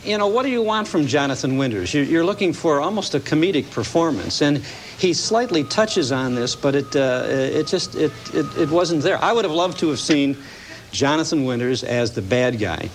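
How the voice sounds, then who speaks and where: An American man giving a casual, halting, explanatory movie review as a guest on a morning TV show. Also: on the high side, lively intonation. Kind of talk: casual interview commentary. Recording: slightly noisy TV broadcast recording.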